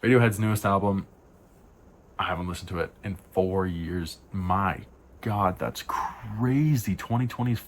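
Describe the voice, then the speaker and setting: A young man with a deep voice talking casually through album reviews, frank and rambling, correcting himself with sighs and asides. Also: measured pace, a little hiss or hum. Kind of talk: casual spoken album rundown.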